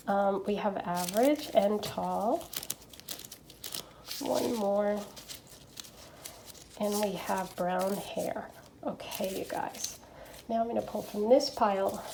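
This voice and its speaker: A woman with a stuffy nose from allergies, chatting gently through a tarot reading with lots of fillers and little checks. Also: mid-range voice, creaky, noisy background. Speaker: tarot reader. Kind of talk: casual pick-a-card tarot reading.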